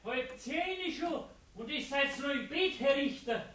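An actor playing a sly, nosy court clerk in a Bavarian dialect radio play, probing and insinuating with mock concern. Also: male voice, very high-pitched, measured pace, fairly bright tone. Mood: surprised.

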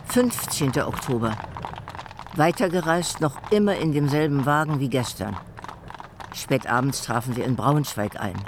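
Actor as a grave, very animated court diarist. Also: male voice, mid-range voice, normal speed, slight background noise, natural voice. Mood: sober, reporting. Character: grave court diarist chronicling a queen's wartime flight. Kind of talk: dramatized diary reading in a radio play.

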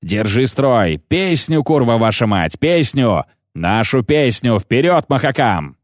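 A man voicing a coarse, defiant dwarf commander in an audiobook, barking hoarsely in a growl. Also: on the low side, moderate pace, darkish tone, no room sound, studio quality, lightly acted. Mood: roaring battle commands. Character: gruff, cursing dwarf commander in battle. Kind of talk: audiobook character acting.

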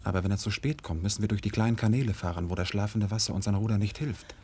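An actor playing an ardent, brave young lover and warrior in a German radio play, speaking in a solemn, lyrical, declamatory way. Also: male voice, on the low side, fast, a little mellow, breathy edge. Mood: watchful.

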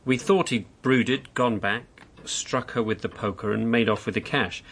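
An actor in a radio drama playing a bored, mischievous housebound husband, candid and needling in manner. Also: male voice, mid-range voice, moderate pace, a bit bright, husky. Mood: recounting.

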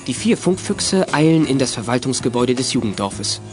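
A man's voice: medium pitch, expressive, a little fast, soft background music. A man narrating a children's radio drama in scripted storytelling style.

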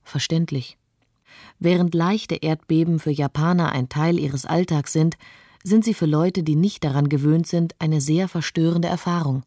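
Female narrator for radio documentary: actress as an observant wife, composed and articulate, notably low and soft-toned, dry studio. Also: fairly even intonation, normal speed, no echo. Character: observant, composed wife recalling her flight from disaster. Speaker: narrator of a personal account.

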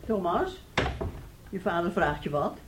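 A woman's voice: fairly low pitch, very animated, unhurried, background noise. A woman acting a stern, middle-aged mother in a radio play, scolding and commanding. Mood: fed up, stern. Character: stern, exasperated mother laying down the law.

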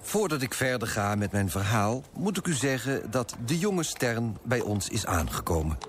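Actor as a pompous, lively merchant. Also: male voice, mid-range voice, normal speed, a little hiss or hum, lightly acted. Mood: disdainful. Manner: pompous, disapproving. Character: smug, moralizing, self-righteous male Amsterdam coffee broker, narrating. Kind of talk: radio play acting with narration.

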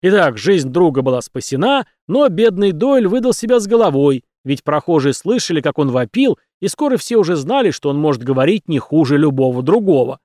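A man voicing a commanding male captain of beggars who holds court loudly before a crowd of listeners. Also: a little high, a little fast, few pauses, no echo, studio quality. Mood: holding court. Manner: loud, lively, holding court.

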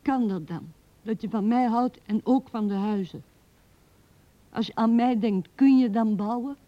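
An earnest young woman hungry for love played by an actress in a radio play. Her voice is fairly high, with a plaintive, insistent delivery. The vintage 1970s recording sounds somewhat dull. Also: measured pace, natural voice. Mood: anxious questioning. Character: young Anna, earnest woman longing to be loved. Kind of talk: radio drama dialogue.

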